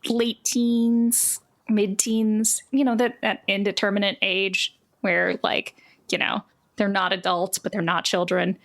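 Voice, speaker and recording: A woman's voice: mid-range voice, flat delivery, a little slow. A woman narrating a tabletop game as game master, improvising descriptions in a conversational way with casual asides.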